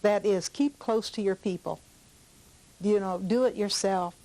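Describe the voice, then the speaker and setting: A woman's voice: mid-range voice, a little slow, warm tone, poor audio. An older woman being interviewed, casually reminiscing in a conversational, anecdotal way. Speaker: interviewee recalling family memories.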